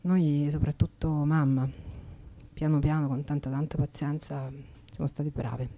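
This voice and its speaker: A weary Roman streetwalker laying her life bare in a stage monologue. Her voice is low and very mellow, subdued and plainspoken, with a Roman accent. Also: steady tone, measured pace. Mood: sad, restrained. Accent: Roman Italian. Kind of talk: intimate dramatic monologue.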